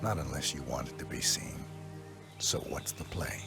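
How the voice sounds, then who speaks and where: An actor playing a terse, cold professional assassin in a game cutscene, speaking gravely and with tight control. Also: male voice, very deep voice, measured pace, full character voice. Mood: cool, businesslike. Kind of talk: video game cutscene acting. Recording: loud music under the voice.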